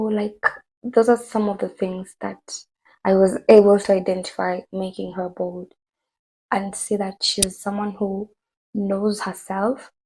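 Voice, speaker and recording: Hoarse, husky woman vlogging. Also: mid-range voice, poor audio. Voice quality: husky, gravelly. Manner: chatty, rambling, with many fillers and asides. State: hoarse from a cold. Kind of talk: casual commentary to camera.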